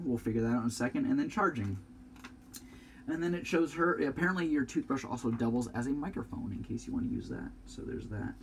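A man's voice: medium pitch, darkish tone, slight background noise. A young man with a congested, sniffly voice rambles casually through an unboxing review at home, thinking aloud and swearing now and then. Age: young adult. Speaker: product reviewer. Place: small room at home, indoors.

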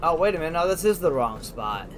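A man's voice: a little high, very animated, fast. A man giving casual let's play commentary as a gaming host, informally thinking aloud. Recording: clearly noisy, game ambience behind.

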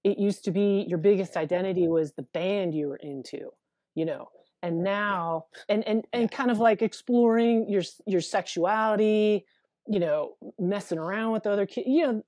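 A woman with a deep voice, a therapist speaking as a guest on a video call, talking frankly and earnestly with many fillers and restarts. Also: moderate pace, dark tone, no echo. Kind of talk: candid interview conversation. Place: small room at home with a window.

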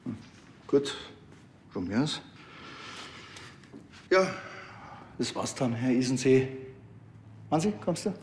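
An actor playing a dry, unflustered small-town police officer with a Bavarian accent in a TV crime comedy. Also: male voice, medium pitch, very animated, slow pace, pauses often, a little mellow, big-room sound, a little hiss or hum. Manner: laconic, dry.

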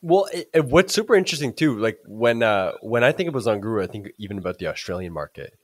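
A young man in his twenties hosts a podcast, his fairly low voice close and dry on a boom-arm studio mic. He asks loose, rambling questions, filling the gaps with "like.". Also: lively intonation, fast, dry recording. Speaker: podcast host. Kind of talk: casual interview questions. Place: indoor room with couch and plant. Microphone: studio microphone on a boom arm.

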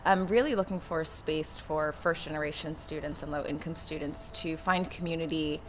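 Young woman, low voice, earnest. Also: normal speed, slight background noise. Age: young adult. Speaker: student organization founder. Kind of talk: documentary interview. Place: building entrance.

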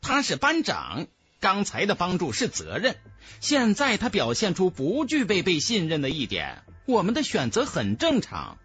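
A man's voice: high voice, wildly swooping pitch, moderate pace, a bit bright, breathy edge, faint music behind, pitched above the performer's own voice, heavily acted. A man voicing a refined young female student in an audiobook, cold and matter-of-fact.

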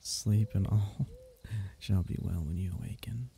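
Man acting loving royal diviner, low-pitched, gravelly, archaic phrasing, gentle bedtime ASMR storytelling. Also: slight background noise. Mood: soothing, loving. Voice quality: husky, gravelly. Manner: archaic, tender, unhurried storytelling.

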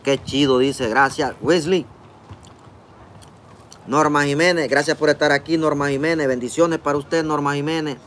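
A man's voice: a little high, fairly even intonation, normal speed, a bit bright, slight background noise. A man in his forties with a Honduran Spanish accent, casually greeting viewers by name on a phone livestream while eating outdoors. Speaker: livestream vlogger reading chat. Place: outdoors in a park, seated at a table. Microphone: phone camera close up.

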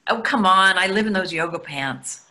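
A woman's voice: medium pitch, normal speed, sharp tone, close to an everyday voice. A woman in her sixties playing a practical, self-deprecating, reassuring wife in a play reading over a video call, dry and matter-of-fact. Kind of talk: readers theatre play reading. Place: plain room indoors. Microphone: computer mic on a video call.

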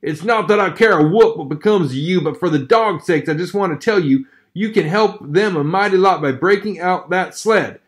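Narrator as a wry frontier man, folksy drawl, slightly raised pitch. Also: moderate pace, few pauses, lightly acted. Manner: folksy drawl with country words.

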